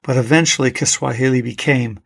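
A man's voice: mid-range voice, a little fast, vocal fry, a bit bright, breathy edge. A middle-aged man hosting a solo podcast, talking in a loose, rambling way with many fillers and restarts. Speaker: podcast host. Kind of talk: casual solo podcast talk.

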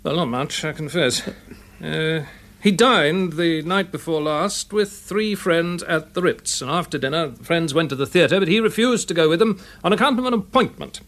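English police inspector in old radio drama, fairly high voice, measured and matter-of-fact. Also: male voice, lively intonation, a bit bright. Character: dry, methodical male English police inspector. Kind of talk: classic BBC radio drama acting.

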